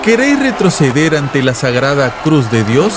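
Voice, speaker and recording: A man's voice: a little high, sing-song, moderate pace, loud music behind the voice, a loud crowd, pitched above the performer's own voice. A man playing a fervent, imperious revival preacher in a dramatized story, speaking with urgent, vibrant, menacing oratory. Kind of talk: dramatized audiobook fire-and-brimstone sermon.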